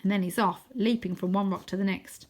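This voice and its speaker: A woman's voice: medium pitch, steady tone, a little fast, a little mellow. Woman reading a children's adventure story. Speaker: storybook reader. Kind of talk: expressive children's audiobook narration.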